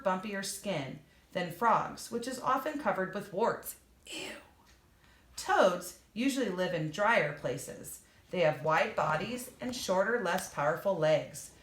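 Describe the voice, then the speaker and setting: A woman reads an informational book aloud for children, speaking to her young listeners directly and pausing to explain as she goes. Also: lowish voice, a bit bright. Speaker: reader and teacher for children. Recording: echoey room.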